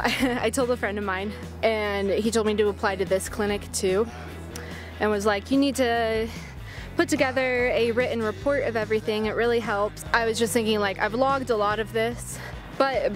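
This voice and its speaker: Female vlogger, twenties; candid, self-deprecating casual update, echoey recording over clear background music. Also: a little high, fairly even intonation, normal speed. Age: 20s. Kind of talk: casual personal health update vlog.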